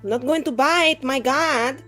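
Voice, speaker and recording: A woman's voice: sits high, a little slow, bright, forceful tone, soft background music, pitched above the performer's own voice. Lady doing a flustered bossy mage girl in a tabletop rpg, haughty, teasing, scolds a lot. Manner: blustering, scolding, self-correcting.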